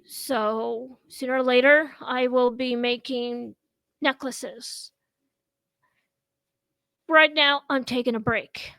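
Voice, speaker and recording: Slow, high-voiced woman in her thirties. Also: fairly bright tone, dry recording. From Russia. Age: 30s. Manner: slow, halting, with many pauses and fillers. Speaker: host. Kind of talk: casual chat. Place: at home.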